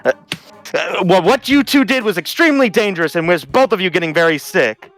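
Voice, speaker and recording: A man's voice: high voice, lively intonation, brisk, fairly bright tone, faint music behind. An actor playing a stern, overwrought policeman in a comedic audio drama. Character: overwrought stern male sanitization cop.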